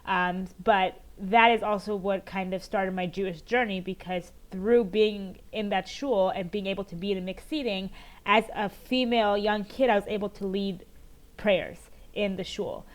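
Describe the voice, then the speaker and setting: A woman's voice: mid-range voice, steady tone, low-quality recording. Earnest woman guest telling her story. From United States, Maryland. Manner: earnest storytelling, many "um" pauses. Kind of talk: conversational interview answers. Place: home in Jerusalem, on a remote call.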